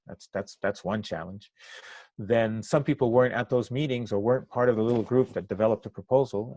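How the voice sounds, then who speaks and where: An older man from Cuba, a native Spanish speaker, giving reflective interview answers in halting, thoughtful phrases. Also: lowish voice, a little mellow, no room sound. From Cuba, moved to the US at eight. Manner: halting, thoughtful phrasing with pauses mid-sentence. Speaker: interview guest, activist and trainer.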